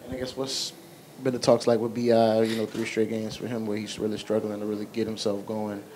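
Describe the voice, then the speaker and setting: A man's voice: on the low side, completely flat delivery, a little fast, mellow, slight background noise, poor audio. A male reporter asking long, rambling questions at a press conference.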